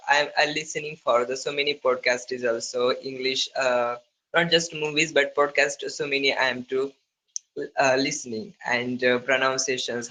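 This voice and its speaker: Bright, halting Indian-accented male learner. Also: mid-range voice, rough recording. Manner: halting, non-fluent English with broken grammar. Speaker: English learner. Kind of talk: conversational speaking practice. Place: online video call.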